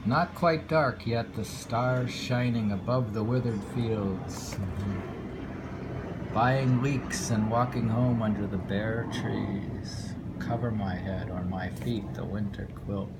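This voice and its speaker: A man reading haiku aloud with casual commentary, in an unhurried, musing way with pauses between phrases. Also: on the low side. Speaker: poetry reader and commentator. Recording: clearly noisy home recording with ambience.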